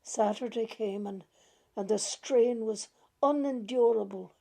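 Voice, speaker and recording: A woman gently reading a literary short story aloud in a measured, deliberate way. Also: fairly high pitch, creaky. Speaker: reader of short stories.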